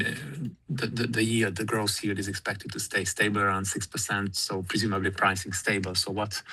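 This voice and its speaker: A man with a low, slightly tense and rough voice. He asks questions as a financial analyst on a conference call, politely and haltingly. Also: pushed and bright, low-quality recording.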